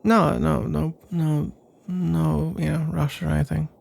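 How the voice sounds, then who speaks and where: A man playing a nervous, pensive roommate in an intimate ASMR roleplay, speaking in a soft, husky, halting ramble. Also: on the high side, level tone. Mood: hesitant, nervous. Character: nervous, pensive male roommate working up to a confession.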